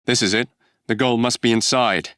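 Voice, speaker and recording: A man's voice: mid-range voice, steady tone, normal speed, fairly bright tone, dry recording, studio quality, lightly acted. A man playing a cocky young Victorian English assassin in a video game, with a wry, cheeky and theatrical English delivery. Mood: focused.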